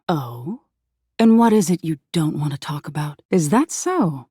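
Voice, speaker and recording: A low-voiced living nightmare from a video game, sinister and seductive. She draws her words out at an unhurried pace and toys with the listener in playful menace. Her dark, husky tone often sinks into breathy whispers. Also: no echo, clean studio sound, exaggerated voice. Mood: teasing, amused. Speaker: video game character voice actor. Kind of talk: theatrical video game voice acting.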